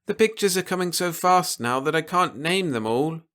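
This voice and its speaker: Man playing an innocent, bright-voiced little boy. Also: on the high side, lively intonation, normal speed, voice pushed up. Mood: earnest, straining. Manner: naive, earnest, simple wording. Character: innocent, trusting little boy who reads minds.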